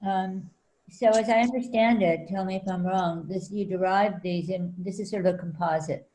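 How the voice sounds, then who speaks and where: A woman interviewing an artist, asking halting, searching questions. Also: medium pitch, steady tone, warm tone, rough recording. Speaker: interviewer, editor at large. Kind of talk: conversational interview.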